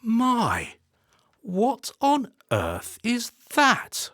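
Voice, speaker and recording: A woman's voice: fairly high pitch, wildly swooping pitch, very slow, fairly bright tone, slight background noise, full character voice. A woman playing a nervous, fussy middle-aged politician husband in a radio play, in a hesitant, plaintive manner. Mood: disapproving.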